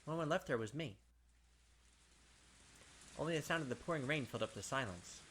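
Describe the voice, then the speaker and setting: A man's voice: mid-range voice, expressive, fairly quick, a bit bright, close to an everyday voice. Man reading as a distraught, brooding young killer. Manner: brooding, insistent, repeating himself. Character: distraught, obsessive young male killer doubting his sanity. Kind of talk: dramatic visual novel narration. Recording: game noise behind the voice.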